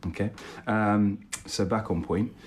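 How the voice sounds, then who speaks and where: Low-voiced young British man, chatty. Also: fairly even intonation, unhurried, darkish tone. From born in London, raised partly in Italy and England. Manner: chatty, informal, self-deprecating. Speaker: podcast co-host. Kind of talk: casual personal storytelling.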